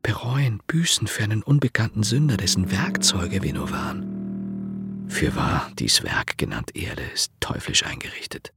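An actor playing a bitter, defiant homeless brother in a German radio play, declamatory and brooding with sudden outbursts. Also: male voice, on the low side, wildly swooping pitch, measured pace, loud music behind the voice, heavily acted. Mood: dark, brooding.

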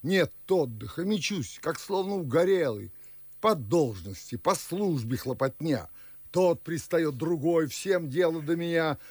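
An older man acting a pompous, fretful, scolding widowed father in a classic verse radio play, with a husky, gravelly voice and a theatrical manner. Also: fairly high pitch, lively intonation, measured pace, a little mellow, no room sound. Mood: aggrieved, pompous. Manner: blustering, theatrical.

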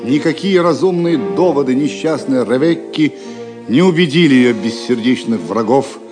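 Expressive male storyteller over music. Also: medium pitch, moderate pace. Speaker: narrator. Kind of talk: dramatic audiobook narration. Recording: music under the voice.

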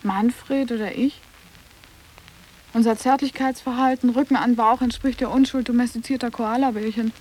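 Schauspielerin als helle, spöttische, knappe Internatsschülerin. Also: female voice, on the high side, steady tone, normal speed, darkish tone, natural voice, rough recording. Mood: guarded, clipped. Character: teenage schoolgirl, sarcastic, guarded, defiant. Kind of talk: literary radio drama acting.

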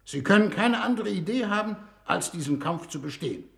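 A man's voice: high voice, lively intonation, fairly quick, gravelly. An actor in a German-language 1960s radio drama playing a shrewd, commanding statesman who speaks formally and persuasively. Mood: urging, persuasive. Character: shrewd, smooth-talking male statesman leading a Venus mission.

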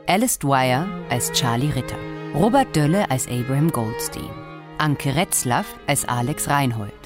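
Female radio announcer, deep register, scripted credit reading, music bed underneath. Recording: orchestral music behind the voice.

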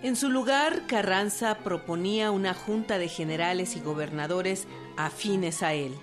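A woman's voice: mid-range voice, moderate pace. Woman narrating radio history. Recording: with music underneath.

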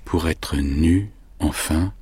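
An actor playing a weary, self-mocking country doctor, speaking his inner thoughts in a hushed voice for a radio drama. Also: extremely low pitch, slow pace. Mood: brooding.

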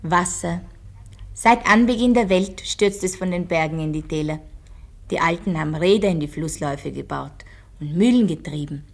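Brisk female schoolteacher, lowish pitch, firm and didactic, for radio drama. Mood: instructive. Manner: didactic, leading questions, firm. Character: brisk female village schoolteacher quizzing her class.

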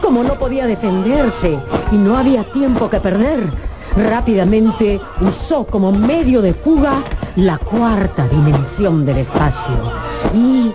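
Woman narrating a radio play, slow and dramatic, lots of swing in her voice, music underneath. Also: mid-range voice, animated. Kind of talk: dramatized scripted storytelling.

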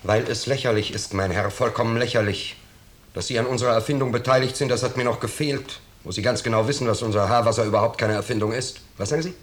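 A man's voice: mid-range voice, steady tone, fast, lightly acted. An actor playing a pompous middle-aged businessman, fussily polite and self-righteous, in a 1950s German radio drama. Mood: irritated, haughty. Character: pompous middle-aged bourgeois businessman, flustered, falsely genial host. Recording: noisy, clicking 1950s radio recording.

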